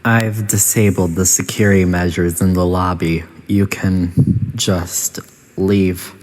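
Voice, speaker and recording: A man voicing a condescending, passive-aggressive computer that runs a testing facility in a video game, with a measured delivery. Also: deep voice, fairly even intonation, a little mellow. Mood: wounded, resigned. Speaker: test chamber announcer and antagonist. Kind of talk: scripted video game voice lines.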